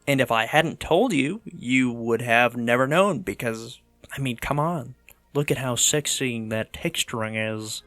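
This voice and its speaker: Male video host for dramatic, tongue-in-cheek narration, medium pitch, music underneath. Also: unhurried, fairly bright tone. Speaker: video host, 3D monster artist. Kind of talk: scripted voice-over narration with comic asides. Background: background music.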